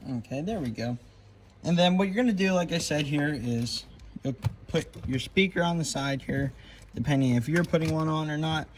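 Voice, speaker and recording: A man's voice: fairly high pitch, moderate pace. A man giving an informal hands-on tutorial, talking as he works, on a slightly noisy home video with handling clicks. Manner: informal, talking while working with his hands. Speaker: vlogger demonstrating a CB radio installation. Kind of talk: casual hands-on tutorial talk. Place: garage, working at a car.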